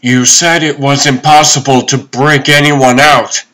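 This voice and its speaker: A man voicing a noble, earnest caped superhero in a comic dub, solemn and measured. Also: medium pitch, little pitch movement, bright, forceful tone. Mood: resolute.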